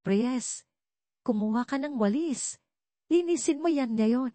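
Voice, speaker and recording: A woman's voice: sits high, lively intonation, takes it slowly, mellow, no room sound, voice pushed up. A woman voicing an angry, bullying male diner manager who scolds and barks harsh orders in an audio story. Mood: scolding.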